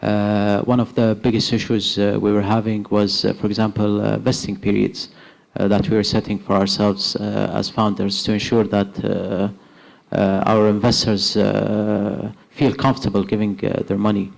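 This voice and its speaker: Kuwaiti guy with a low gravelly voice, lots of uhs, answering questions at a startup event. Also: monotone. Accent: Kuwaiti English. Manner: halting, many filler uhs. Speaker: startup founder on stage. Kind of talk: live panel answers. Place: event hall with audience.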